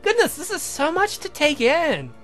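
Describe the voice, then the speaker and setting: A very high, genteel lady voiced by a man in a gothic romance game. She sounds amazed and questions politely, with music playing behind her. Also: wildly swooping pitch, moderate pace, fairly bright tone, full character voice. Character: astonished genteel young lady, the count's beloved.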